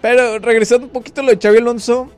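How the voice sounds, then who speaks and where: A man's voice: very high voice, little pitch movement, soft background music. Opinionated Mexican man talking football. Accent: Mexican Spanish. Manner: opinionated, quick short interjections. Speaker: sports talk co-host. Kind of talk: casual football chat.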